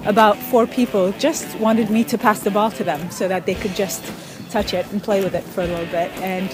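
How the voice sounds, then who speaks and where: A woman in her thirties from Ethiopia, telling stories in a casual interview with thoughtful pauses and soft laughs. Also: medium pitch, steady tone, music in the background, poor audio. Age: 30s. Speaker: interviewee, pickup soccer player.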